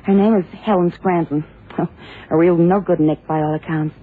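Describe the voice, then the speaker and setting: An actress playing a detective's worried, loyal secretary in a radio drama, earnest and hesitant with a husky voice. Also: female voice, lowish voice, steady tone, moderate pace. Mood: worried, defensive. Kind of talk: 1960s-70s radio drama acting. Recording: tinny phone-like vintage radio drama.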